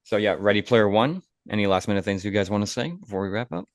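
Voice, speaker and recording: Deep-voiced man hosting a casual podcast. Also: quick pace, dry recording, clean studio sound. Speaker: podcast host. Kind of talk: casual film podcast discussion.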